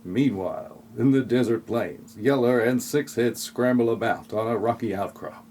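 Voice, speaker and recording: A man's voice: mid-range voice, normal speed, darkish tone, a little hiss or hum. A man reading scripted comic narration for an audio play in a wry, flippant way.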